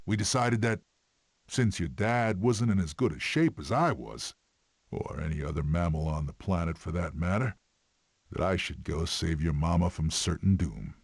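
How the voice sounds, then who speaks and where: An actor playing a gruff, sardonic male animal in an animated film, telling stories with dry sarcasm. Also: deep voice, moderate pace, no echo. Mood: wry storytelling.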